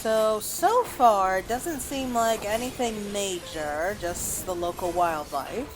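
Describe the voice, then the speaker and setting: A woman's voice: mid-range voice, animated, takes it slowly, rough recording. A woman giving casual let's play commentary as a game streamer, thinking aloud and reacting as she plays.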